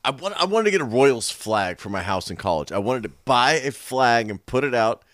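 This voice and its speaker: A man in his thirties with a hoarse, raspy throat, explaining things in a knowledgeable, low-key way during a casual chat. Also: very animated, a little fast, fairly bright tone, no echo, studio quality. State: hoarse today. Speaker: co-host.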